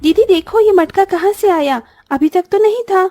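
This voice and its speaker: A woman's voice: extremely high pitch, normal speed, warm tone, no echo, clean studio sound. A woman voicing an eager, childlike orphan girl who exclaims often, in a cartoon story. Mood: curious. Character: excitable young orphan sisters in a cartoon. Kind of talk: Hindi cartoon story dialogue.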